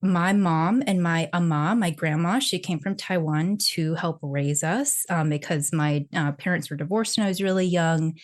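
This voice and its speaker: A woman talks with a lower voice, chatty and easygoing as she tells stories from her childhood. Her speech runs along with lots of fillers and restarts, like a relaxed podcast conversation. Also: moderate pace, few pauses. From Texas, United States. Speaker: podcast guest. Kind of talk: casual conversational interview.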